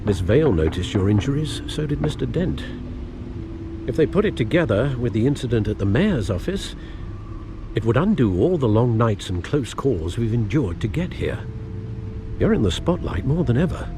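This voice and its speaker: A man's voice: mid-range voice, sing-song, moderate pace, background noise. An actor playing a wry, fretful, fatherly old butler in a video game, with a dry and formal way of talking. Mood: worried, admonishing. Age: older.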